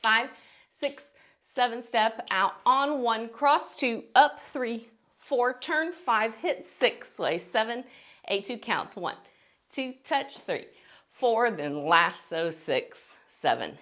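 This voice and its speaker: A woman's voice: fairly high pitch, animated, takes it slowly, a bit bright. A woman teaching a beginner dance tutorial step by step, encouraging and counting beats aloud between instructions.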